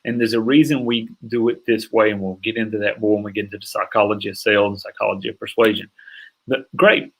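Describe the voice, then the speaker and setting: A middle-aged man teaching a sales course in an energetic, emphatic way, often stopping to check that listeners follow. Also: lowish voice, quick pace, gravelly, slightly rough, low-quality recording. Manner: energetic, emphatic, acts out sample calls. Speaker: sales coach. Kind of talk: online course lecture.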